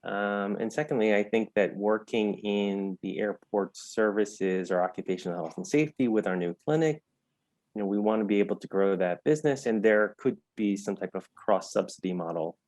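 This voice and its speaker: An American man giving thoughtful interview answers in a measured, explanatory way, stressing key words. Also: on the low side, a little mellow, dry recording. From United States. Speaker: interview guest, health entrepreneur.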